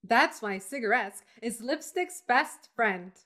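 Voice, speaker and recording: Woman as perky, high-pitched forties ad girl. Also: lively intonation, slow pace, sharp tone, full character voice. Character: perky, glamorous 1940s female singing-trio cigarette spokeswoman. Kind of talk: 1940s radio ad acting, comedic.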